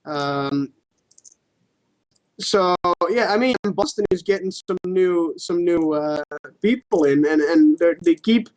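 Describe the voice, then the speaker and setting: A man's voice: on the high side, slow pace, a little mellow, poor audio. A man co-hosting a podcast, chatting casually about sports with informal, sweary phrasing. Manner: informal, sweary, hedging as he argues. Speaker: podcast co-host.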